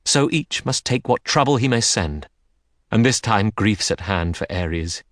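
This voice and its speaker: A man's voice: on the low side, lively intonation, a little slow, a bit bright, dry recording, clean studio sound. A man voicing a haughty, bitter queen of the gods in an audiobook, imperious and scornful. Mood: bad-tempered scorn. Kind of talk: dramatic audiobook character reading.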